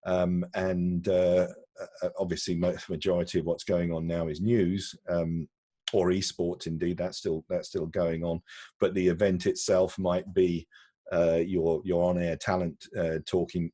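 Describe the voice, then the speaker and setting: A man answering questions in a conversational video-call interview, halting and often repeating words as he explains. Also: low-pitched, dark tone, slightly rough, dry recording. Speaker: interviewee, broadcast technology executive.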